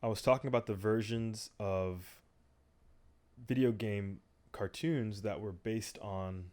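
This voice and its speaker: A man's voice: on the low side, takes it slowly. Casual rambling man hosting a podcast. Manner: relaxed, rambling, trails off with fillers. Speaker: podcast host. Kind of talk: casual chat.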